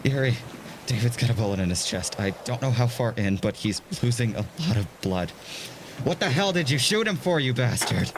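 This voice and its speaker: A man's voice: medium pitch, lively intonation, moderate pace, background noise, lightly acted. An actor playing a hot-headed young male hostage in an audio drama, urgent, stammering and defiant. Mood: desperate, angry.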